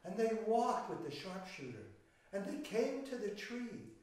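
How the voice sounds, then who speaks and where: A man telling a story to young children in an expressive, dramatic way, with pauses, speaking straight to his audience. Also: high-pitched. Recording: roomy, echoey, distant microphone.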